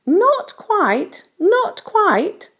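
A woman voicing a kind, gentle ladybird in a storybook, explaining things in an assured, reassuring way. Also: very high-pitched, very animated, drawn-out words, pitched above the performer's own voice, heavily acted.

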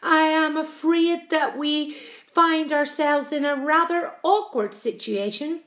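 Woman as a formal, measured old gentleman grasshopper. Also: high-pitched, very animated, voice pushed up. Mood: grave, restrained fear. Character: old male green grasshopper, polite, composed gentleman.

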